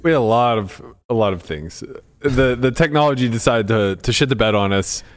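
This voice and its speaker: A man's voice: medium pitch, animated, a little fast. A man hosting a casual poker podcast, bantering in a blunt, teasing, informal way with casual swearing. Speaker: podcast host.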